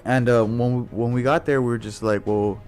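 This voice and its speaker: A man's voice: medium pitch, steady tone, a little fast, darkish tone, faint music behind. A young adult man telling a casual story, slangy, rambling and sweary.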